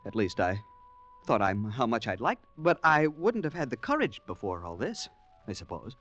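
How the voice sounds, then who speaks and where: A timid, polite gentleman traveller in a 1940s radio adventure, with very lively pitch movement. He is earnest and hesitant, swept up in romance and danger. The restored old recording has music and faint noise around him. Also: normal speed, muffled recording. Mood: nervous. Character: timid, polite male traveller turned infatuated accidental hero. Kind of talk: 1940s radio acting and first-person narration.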